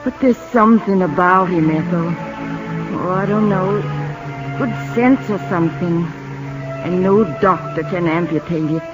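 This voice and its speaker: A woman's voice: on the low side, unhurried, lightly acted. An actress playing a plain-spoken, kindly older mother with a folksy American manner of speech in a 1950s radio drama. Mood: worried. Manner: folksy, homespun. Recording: muffled 1950 radio broadcast, loud music.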